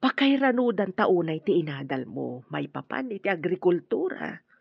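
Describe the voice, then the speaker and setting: An actress playing a tender, hardworking middle-aged farm mother in a radio drama, with a husky voice. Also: on the low side, very animated, normal speed, no echo, muffled recording, exaggerated voice. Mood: tender, earnest.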